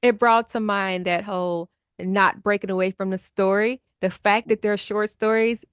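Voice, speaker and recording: A woman's voice: mid-range voice, steady tone, moderate pace, clean tone, no echo, clean studio sound. A woman hosting a book podcast in a conversational way, putting questions to a guest and addressing listeners. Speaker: podcast host.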